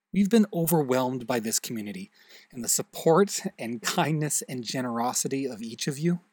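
A man with a mid-pitched, animated voice hosts an audio drama podcast. He delivers a scripted, friendly outro straight to the listener in a dry recording. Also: slightly rough, no room sound. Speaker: host and producer of audio drama.

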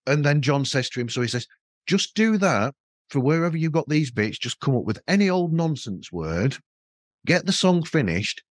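A man's voice: fairly high pitch, big pitch swings, moderate pace, no echo, studio quality. A man hosting a podcast, chatting casually in a rambling, anecdotal way. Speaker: podcast host. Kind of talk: casual conversational interview.

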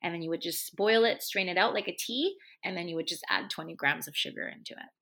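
A woman's voice: on the low side, fast, pushed and bright. A woman from Hong Kong who works as a bartender and drinks educator, chatting easily in an interview with lots of fillers. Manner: easygoing, chatty, many fillers. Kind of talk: casual interview chat.

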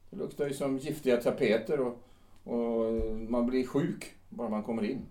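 An actor playing a devout, earnest male visitor who questions the couple gently and steadily in an audio drama. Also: medium pitch, lightly acted. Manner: measured and gentle, stammering when alarmed. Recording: roomy echo.

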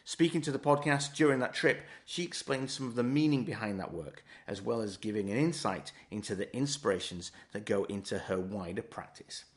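A man hosts an arts podcast in a slightly low register. His voice is husky and a little rough-edged as he introduces and interviews. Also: fairly quick, hardly any pauses. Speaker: podcast host. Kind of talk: scripted narration and podcast interview.

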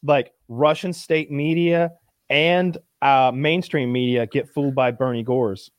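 A man's voice: mid-range voice, fairly even intonation, a little slow, no room sound, studio quality. A man co-hosting a casual podcast chat, rambling and stacking filler words as he talks. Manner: rambling, repeats "like" often. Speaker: podcast co-host.

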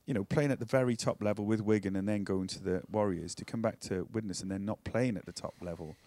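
A man hosts a casual podcast and fires off teasing questions at a brisk clip. His voice sits fairly low and sounds dry and close to the mic. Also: mellow, no room sound. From Wales. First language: Welsh. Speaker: podcast host. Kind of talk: casual banter and interviewing.

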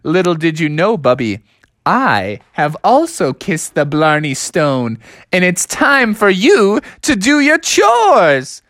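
A storyteller voicing a father bear who gives firm, slow orders. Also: mid-range voice, wildly swooping pitch, fairly bright tone, no echo, clean studio sound, voice pushed up, full character voice. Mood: smug.

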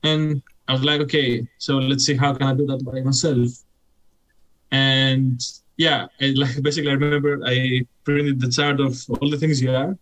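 A man's voice: mid-range voice, flat delivery, moderate pace, fairly bright tone, poor audio. A young Greek man with Greek as his first language, chatting casually as a guest, his talk full of "like" and "you know". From Greece. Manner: rambling, heavy use of "like" and "you know". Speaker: guest musician.

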